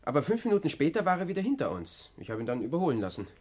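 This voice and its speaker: A man's voice: a little high, wildly swooping pitch, racing. An actor playing a talkative, agitated eyewitness in a German 1960s radio crime drama, hesitating and stammering as he speaks. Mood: shaken. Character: shaken, talkative male motorist, witness to an explosion.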